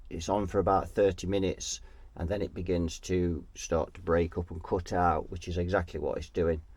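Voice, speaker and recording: A man's voice: low-pitched, a little mellow, no echo. A man with a head cold, sounding nasal and sniffly, talking casually through an amp repair at his workbench and often trailing off mid-thought. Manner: thinking aloud, trailing off and restarting. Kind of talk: casual workshop repair walkthrough.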